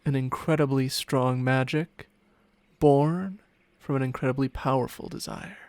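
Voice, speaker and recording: A man's voice: medium pitch, measured pace, darkish tone, smooth, no room sound, close to an everyday voice. A man voicing a smug, seductive ancient dragon in humanlike form for an ASMR roleplay, teasing and haughty in formal words, with murmurs that slip into whispers. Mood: smug, intrigued. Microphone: close microphone, dry sound.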